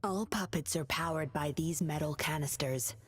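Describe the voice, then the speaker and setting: A woman's voice: low voice, normal speed, breathy edge, soft background music. An actress playing a mysterious, scheming young woman in a video game, speaking in a cool, guarded, matter-of-fact way. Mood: cool, focused.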